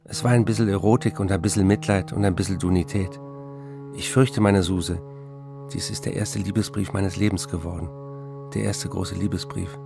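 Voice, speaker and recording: Troubled, remorseful writer, intimate and measured, gravelly delivery, radio-play letter reading with music underneath. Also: male voice, sits low, fairly even intonation. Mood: tender confession. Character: troubled male writer confessing to his wife, tender, remorseful.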